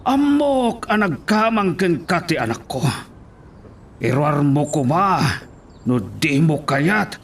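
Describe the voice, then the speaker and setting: A man acts out a bullying, angry mayor in an audio drama. His voice is on the high side and swoops widely, with slow, threatening delivery. There is slight noise in the amateur recording. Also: animated. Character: angry, domineering town mayor, a man, demanding his child. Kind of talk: Ilocano audio drama acting.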